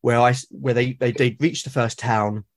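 A man's voice: medium pitch, fairly even intonation, moderate pace. A British man with a mid-range voice chats as a podcast guest. He talks thoughtfully and at length, and often circles back to rephrase what he means. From Britain. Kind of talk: casual conversation about solo roleplaying.

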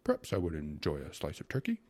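Man voicing a gravelly, peevish, moaning prosecutor. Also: deep voice, wildly swooping pitch, a little fast, dry recording, exaggerated voice. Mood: wistful. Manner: moaning, wistful complaining. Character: peevish, impatient male prosecutor with a bad stomach.

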